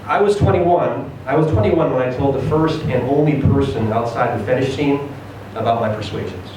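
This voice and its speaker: A man's voice: medium pitch, moderate pace. Man reading a story in a very echoey room. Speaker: reader of a short story at a live event. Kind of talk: dramatic first-person story reading. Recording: very echoey room, distant mic, noisy.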